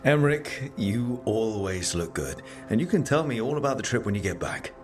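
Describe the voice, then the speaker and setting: An actor playing an easygoing young adventurer in a video game, speaking casually with affectionate, playful teasing. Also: male voice, fairly low pitch, very animated, moderate pace, faint music behind. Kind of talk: video game cutscene acting.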